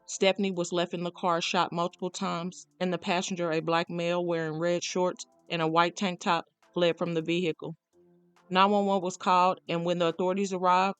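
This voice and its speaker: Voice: medium pitch, fairly bright tone, smooth, no echo. A narrator reading a scripted true crime documentary account.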